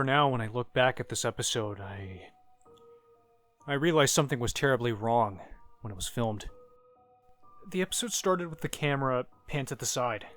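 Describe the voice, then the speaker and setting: A man narrating a scripted first-person horror story, with dramatic pauses and phrases that trail off quietly. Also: medium pitch. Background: music.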